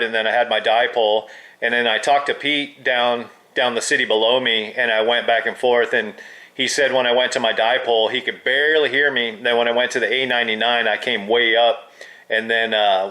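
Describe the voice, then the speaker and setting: Guy on a ham radio, sharp bright voice, just chatting casually with another operator. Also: medium pitch. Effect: two-way radio transmission. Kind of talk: casual radio chat between hobbyists. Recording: crackly two-way radio audio.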